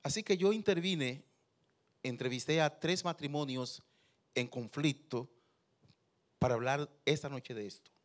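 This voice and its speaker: A man, a pastor preaching and telling personal anecdotes in a lively way. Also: medium pitch, unhurried, pauses often. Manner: lively storyteller who acts out quotes.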